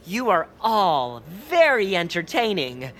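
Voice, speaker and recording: An earnest amateur stage director in a comic audio drama. The delivery is hesitant and self-doubting, with a lively, expressive pitch. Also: female voice, slow pace. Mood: apologetic, earnest. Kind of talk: scripted comedic audio drama acting.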